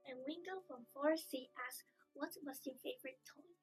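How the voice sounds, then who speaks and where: High-pitched student interviewer. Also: female voice, normal speed, soft background music. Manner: polite, scripted question reading. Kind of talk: reading interview questions.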